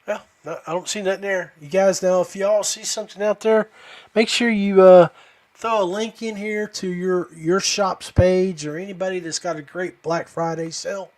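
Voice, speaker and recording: A man hosting a podcast in a casual, folksy way, halting and often trailing off. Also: high voice, steady tone. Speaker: podcast host. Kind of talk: casual livestream chat.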